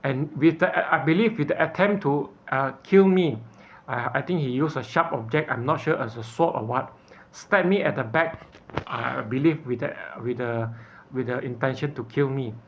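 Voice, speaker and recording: A man from Malaysia, speaking in an earnest, halting way in an unscripted personal appeal, often stopping to search for words. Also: medium pitch, dull audio, slight background noise.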